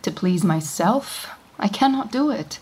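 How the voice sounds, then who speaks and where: A woman's voice: medium pitch, animated, a little slow, darkish tone, breathy edge, low-quality recording. A woman playing a melancholy queen in a Shakespeare audio drama, brooding on a coming grief. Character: the sorrowful young Queen.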